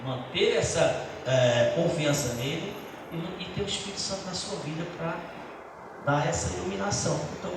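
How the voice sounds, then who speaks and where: A middle-aged man from Brazil, a pastor giving an earnest personal testimony in a church teaching talk, with halting restarts. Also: a little high. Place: church hall. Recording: echoey church hall from far off, noisy.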